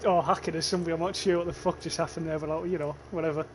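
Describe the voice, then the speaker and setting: Young northern English man, casual livestreamer, chatty and sweary, slightly high voice, clear game noise underneath. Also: fairly even intonation, racing. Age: young adult. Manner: chatty, swears casually, reads out chat comments. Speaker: streamer. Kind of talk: casual livestream commentary while gaming.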